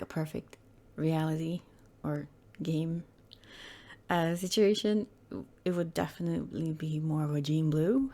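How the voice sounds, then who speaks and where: A woman hosting her gaming channel in casual, rambling commentary, her throat hoarse and croaky today, often hesitating and correcting herself. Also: lowish voice, dark tone.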